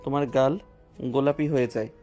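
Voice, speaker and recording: A man plays a doting young husband in a romantic audio drama, talking fast and sweetly as he teases his wife. His voice sits at a middle pitch. Soft music plays under it. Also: fairly even intonation, close to an everyday voice. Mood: tender. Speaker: voice actor.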